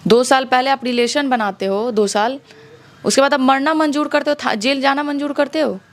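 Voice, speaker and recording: A woman's voice: high-pitched, steady tone, moderate pace. A woman with a Bihari accent giving her account as an interview guest, indignant and challenging, often using rhetorical questions. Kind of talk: conversational interview testimony.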